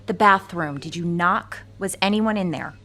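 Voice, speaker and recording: Female detective, slightly low pitch, direct questioning, clipped and businesslike, naturalistic audio drama. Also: normal speed, bright, forceful tone. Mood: matter-of-fact. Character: probing, matter-of-fact female police detective.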